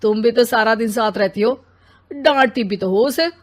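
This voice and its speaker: A woman voicing a grumpy, demanding husband and father in an animated family story, complaining and dismissive. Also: fairly high pitch, normal speed, close to an everyday voice.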